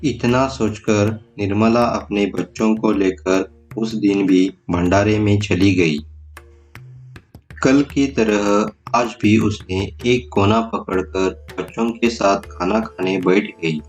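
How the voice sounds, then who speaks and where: A man's voice: on the low side, slow, poor audio. A man narrating a scripted moral tale as a storyteller. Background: faint music.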